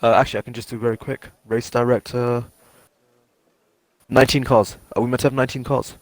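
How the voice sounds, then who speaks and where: A man's voice: mid-range voice, little pitch movement, a little fast, dry recording. A man with a mid-range voice co-commentates a live sim race. He drops casual, offhand remarks between his partner's calls.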